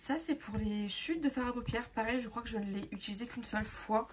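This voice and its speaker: A young woman with a sore throat and a hoarse voice, chatting casually to viewers as she sorts her makeup, rambling with plenty of fillers. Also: on the high side, brisk, background noise. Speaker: makeup artist vlogger. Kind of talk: casual sorting vlog, talking to viewers.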